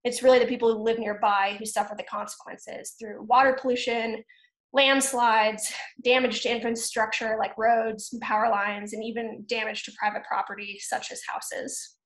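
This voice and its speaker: A woman in her thirties talks through a webinar from a room at home, measured and earnest, dotting her sentences with ums. Her voice sits a little high. The video-call audio carries a noticeable room echo. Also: level tone. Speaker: webinar presenter. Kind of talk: webinar slide presentation.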